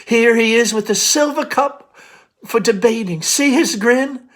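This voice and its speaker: A man's voice: extremely high pitch, measured pace, a bit bright, voice pushed up. A man voicing a shy, fragile, wistful young woman with a hesitant, apologetic manner in a stage play reading.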